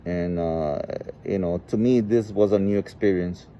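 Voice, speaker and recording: A man in his forties from El Salvador with a Salvadoran Spanish accent, telling his personal story informally and haltingly, often saying "you know". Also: low-pitched. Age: 40s. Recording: muffled, with clear background noise.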